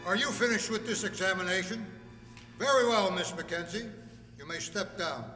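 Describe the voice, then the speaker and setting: Man acting a stern judge, high-pitched and echoing. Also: moderate pace, bright, forceful tone, soft background music. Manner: authoritative, declamatory. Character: stern, commanding male courtroom judge. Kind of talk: radio drama acting. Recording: very echoey hall recording.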